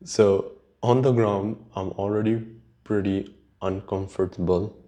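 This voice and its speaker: Slow, low-voiced man with Urdu accent. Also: fairly even intonation, warm tone. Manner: unhurried, halting, sweary. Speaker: podcast host. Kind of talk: casual rambling solo podcast chat.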